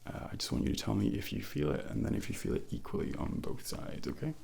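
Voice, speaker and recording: A man speaking softly and breathily, often close to a whisper, in an ASMR doctor role-play, giving gentle, reassuring, unhurried instructions. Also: lowish voice, big pitch swings, vocal fry. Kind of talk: soft-spoken ASMR medical exam role-play.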